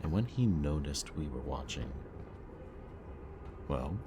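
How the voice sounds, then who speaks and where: A man acting a brooding villain kidnapper in an audio roleplay, mockingly polite and weary, speaking in a deliberate, theatrical way. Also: extremely low pitch, lively intonation, background noise, full character voice. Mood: grim, haunted.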